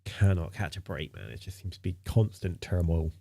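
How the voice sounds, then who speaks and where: Deep gravelly nasal man with a cold. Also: lively intonation, normal speed, mellow. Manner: rambling, many fillers and repeats. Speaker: streamer. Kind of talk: casual live-stream chat.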